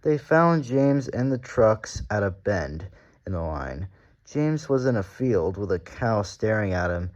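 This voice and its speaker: A man's voice: lowish voice, very animated, measured pace, dull audio. A man narrating a children's storybook aloud as the story reader.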